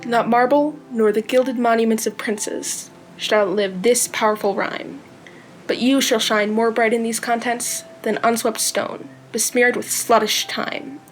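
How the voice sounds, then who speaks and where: A woman's voice: fairly high pitch, steady tone, unhurried, faint music behind, natural voice, poor audio. A young woman performing a dramatic verse monologue as a devoted lover. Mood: devoted, resolute. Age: young adult. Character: devoted lover proclaiming undying praise.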